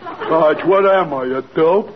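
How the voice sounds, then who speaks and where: An actor playing a dim, good-natured, slow-witted sidekick who talks hesitantly in an old-time radio comedy. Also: male voice, fairly high pitch, a crowd in the background, natural voice. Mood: puzzled. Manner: dopey, hesitant, literal-minded. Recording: muffled noisy vintage radio.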